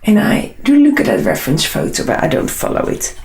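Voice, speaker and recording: A woman with a Dutch accent and a husky, unwell voice, chatting casually and unhurriedly as she hosts a live drawing tutorial. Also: deep voice, wildly swooping pitch, brisk, darkish tone, reverberant. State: unwell, struggling hoarse voice. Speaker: artist hosting a live drawing session.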